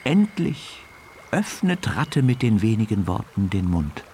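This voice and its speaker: A man narrating a children's radio play as an expressive, deliberate storyteller. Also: on the low side. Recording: studio narration with music underneath.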